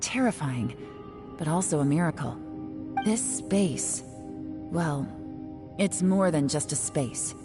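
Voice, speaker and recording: An actress playing a cool, composed woman adventurer in a video game, explaining things in a measured way. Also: low-pitched, animated. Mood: composed, serious. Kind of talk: scripted game dialogue. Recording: loud music under the voice.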